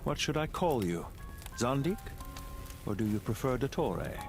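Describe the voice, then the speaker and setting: An actor playing a proud, composed, calculating magnate in a video game, with a dignified, deliberate and formal delivery. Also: male voice, medium pitch, sing-song, creaky. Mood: calm farewell. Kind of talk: scripted video game voice acting. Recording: music under the voice.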